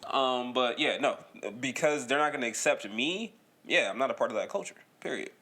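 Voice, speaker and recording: A young man co-hosting a podcast, talking casually with frequent profanity and loud laughter. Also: medium pitch, fairly quick. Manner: profane, laughs loudly, animated joking. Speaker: podcast co-host.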